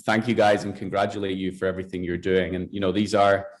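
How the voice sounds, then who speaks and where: A man's voice: sits low, little pitch movement, quick pace. A Scottish man being interviewed on a podcast, telling his career story in a conversational, enthusiastic way. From Scotland. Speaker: guest, athletics director.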